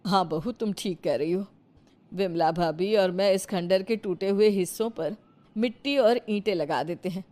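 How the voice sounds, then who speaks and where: A woman's voice: mid-range voice, normal speed, a little mellow, close to an everyday voice. A woman playing a practical, earnest village woman of a joint household in a cartoon moral story.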